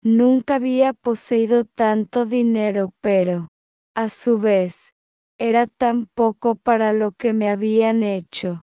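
A woman reading a text aloud phrase by phrase, then repeating each phrase slowly and calling out the punctuation marks. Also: fairly high pitch, steady tone, smooth, dry recording, muffled recording.

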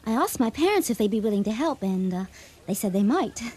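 A husky, love-struck schoolgirl. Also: medium pitch, big pitch swings, fairly quick, a little mellow. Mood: nervous, earnest. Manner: flustered, affectedly formal. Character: love-struck, theatrical teenage English schoolgirl. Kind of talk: radio drama acting.

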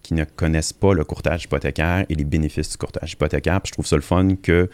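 A Montreal man with a Québécois French accent talks as an interview guest in a very deep voice. He agrees easily and speaks haltingly, often repeating words. The recording is dry and close. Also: steady tone, a little mellow, no room sound. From Montreal, Canada. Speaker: mortgage executive guest. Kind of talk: casual interview chat.

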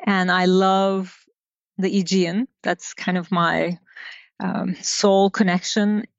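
Slow, reflective woman from Turkey. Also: medium pitch, little pitch movement, creaky, no room sound. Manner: unhurried, reflective, with many pauses and fillers. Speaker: guest designer. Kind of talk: casual interview storytelling. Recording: dull lo-fi sound.

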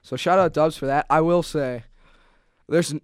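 A man's voice: on the high side, normal speed, clean tone, no room sound. A young man co-hosting a sports radio show, bantering casually into a close studio mic.

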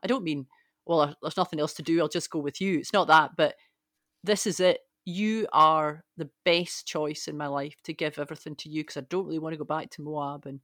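A woman's voice: low voice, fairly even intonation, fairly quick, a bit bright, no room sound, clean studio sound. A woman in a conversational Bible discussion, thinking aloud emphatically and posing rhetorical questions. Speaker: podcast panellist.

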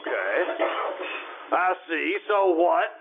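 Tinny radio sound: man plays a grumbling, incredulous workaholic boss, creaky and a bit high-pitched. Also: big pitch swings, takes it slowly, full character voice. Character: skeptical, stubborn workaholic store boss and father. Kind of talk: old-time radio drama acting. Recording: tinny phone-like band, noisy radio sound.

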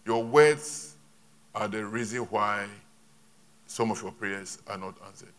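A man's voice: fairly low pitch, fairly bright tone. A man preaching a sermon in a church, speaking in short emphatic phrases and repeating lines for an interpreter. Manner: emphatic, repetitive, pauses for interpretation.

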